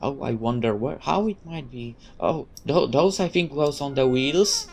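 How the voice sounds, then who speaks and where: A woman reading a visual novel aloud in an expressive, sometimes hesitant way. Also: extremely low pitch, a little slow, vocal fry, husky. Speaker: gameplay narrator.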